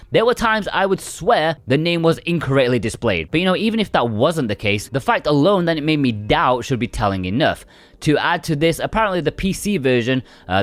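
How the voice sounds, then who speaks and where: A man reads a scripted game review with a very animated, varied intonation. His voice sits at a medium pitch and his delivery is conversational and emphatic. The sound is dry, with little room echo.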